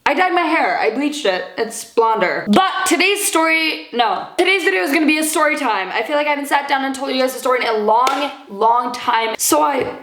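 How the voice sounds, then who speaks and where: A young woman from Sweden rambles through a casual storytime, packed with likes and casual swearing. Her voice sits a little high. The room gives the recording a noticeable echo. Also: animated, fairly quick, fairly bright tone, a little hiss or hum. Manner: chatty, swears casually, lots of likes and fillers. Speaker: YouTuber.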